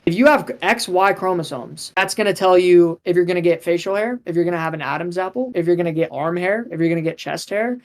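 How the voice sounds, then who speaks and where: A man's voice: sits high, fast talker, hardly any pauses. A young man arguing insistently in an online debate, often repeating himself. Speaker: debate guest. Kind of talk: heated debate.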